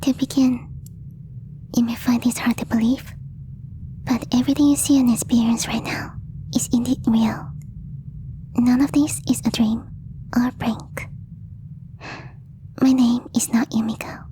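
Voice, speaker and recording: Gentle alien girl female performer, ASMR roleplay: breathy whisper, even melody, soft mellow tone, shyly formal and slightly stilted delivery. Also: fairly high pitch, measured pace, long pauses, slight background noise, close to an everyday voice. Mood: shy, hesitant. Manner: hesitant, apologetic, formal slightly stilted phrasing. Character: gentle, devoted young female alien posing as exchange student.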